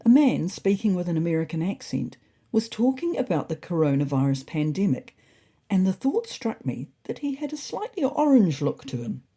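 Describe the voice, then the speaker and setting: Woman doing smug earnest orange cat reading his diary, storybook style, husky, really up and down. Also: on the low side, sing-song, moderate pace, warm tone, heavily acted. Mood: worried, musing. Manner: pompous, naively confident. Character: self-important, earnest male orange cat keeping a diary. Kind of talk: scripted first-person diary storytelling.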